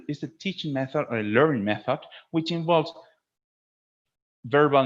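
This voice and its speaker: A man's voice: mid-range voice, muffled recording. A man teaching a webinar to fellow teachers in a didactic way, often checking in with a short questioning tag at the ends of phrases.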